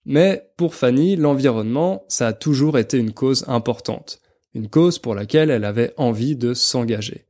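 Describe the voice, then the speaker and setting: A man's voice: mid-range voice, lively intonation, moderate pace, dark tone. A young adult man with an accent from France presents a podcast for language learners, explaining things didactically. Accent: French, from France. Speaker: podcast host and French teacher.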